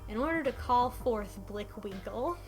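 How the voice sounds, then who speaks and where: A woman's voice: on the high side, expressive, a little slow, over music. A woman co-hosting a podcast, casually retelling a story from notes in an informal, sweary, mock-dramatic way. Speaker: podcast co-host recapping a game.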